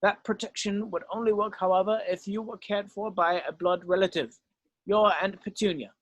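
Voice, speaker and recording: A man voicing a weary, gently remorseful old wizard headmaster in a script read-through, speaking in a measured, solemn way. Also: sits high, steady tone, no room sound, low-quality recording. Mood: heavy, confessional.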